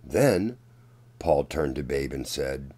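A man reading a children's picture book aloud as a storyteller, in a deliberate, dramatic manner. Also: rumbling low, lively intonation, darkish tone, slightly rough. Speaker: children's storyteller and channel host.

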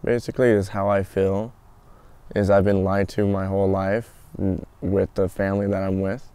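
A man's voice: sits low, monotone, normal speed, dark tone, clean tone, dry recording. A man in his early twenties casually telling his own story in an outdoor interview. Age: early 20s. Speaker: documentary interviewee. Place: outdoors in a garden.

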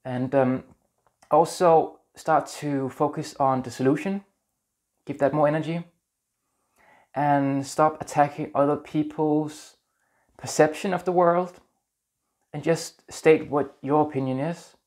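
A Danish-accented man in his thirties talks gently to the camera, earnest and a little halting as he searches for words. He is recorded close on a lapel mic in a small indoor room. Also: a little high, fairly even intonation. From Denmark. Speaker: spiritual vlogger. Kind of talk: casual talk to camera.

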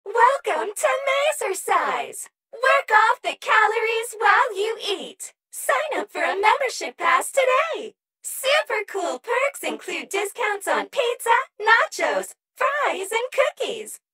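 Perky female animatronic chicken, extremely high voice, very slow drawn-out delivery, sweet but menacing, video game character. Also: sing-song, bright, forceful tone, heavily acted. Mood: peppy, promotional. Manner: sing-song, exaggerated, drawn-out delivery.

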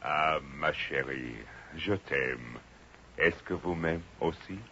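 An actor playing an ardent, impetuous French suitor with florid romantic gushing in a 1950s radio comedy. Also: male voice, a bass voice, takes it slowly, pushed and bright, exaggerated voice. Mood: adoring, gushing. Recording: noisy, dull 1950 radio recording.

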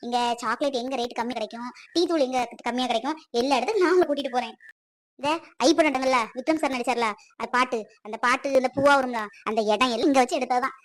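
A woman's voice: sits high, lightly acted. Woman doing a bragging local guide man. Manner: boastful, eager salesman patter. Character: boastful chatty male local tour guide.

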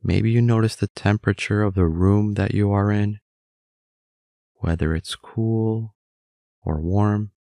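A man guides a meditation in a low voice with a gravelly edge. He speaks very slowly and calmly, giving gentle, measured instructions. The sound is dry and close. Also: dry recording.

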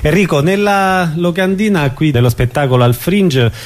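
A man's voice: a little high, moderate pace, a little hiss or hum. A man hosting a radio interview, putting conversational questions to his guests.

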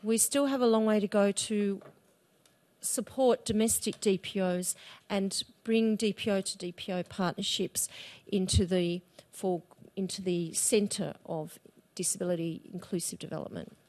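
A woman's voice: mid-range voice, fairly even intonation, measured pace, a little mellow. A woman speaking on a conference panel, earnest and with fillers and restarts. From Australia. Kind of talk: conference presentation. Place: large conference room. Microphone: desk microphone.

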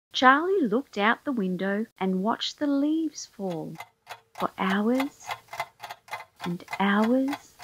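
A woman's voice: fairly high pitch, a little hiss or hum, rough recording. A woman reading a children's picture book aloud as storyteller, expressively, drawing out key words for emphasis.

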